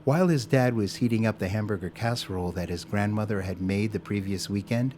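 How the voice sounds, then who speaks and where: A man narrating a scripted audio drama as a storyteller, with a measured, wry, theatrical delivery. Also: on the low side, darkish tone, slight background noise, natural voice.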